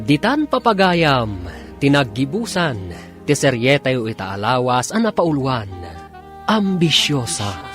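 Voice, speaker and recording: A man delivers a scripted radio drama announcement with dramatic, wide swings of pitch. He takes his time, and music plays clearly behind him. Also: big pitch swings, slow, a bit bright. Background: music bed.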